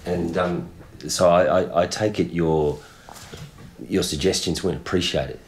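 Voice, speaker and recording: An actor playing a polite, tentative journalist who interviews in a hesitant, apologetic way for a mockumentary. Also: male voice, deep voice, unhurried, darkish tone. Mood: cautious. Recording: clearly noisy location sound.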